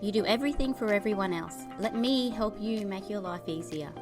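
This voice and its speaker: A woman's voice: mid-range voice, brisk. Upbeat Australian woman over music. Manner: upbeat, encouraging. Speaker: podcast host. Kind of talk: scripted podcast intro. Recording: clear music bed under voice.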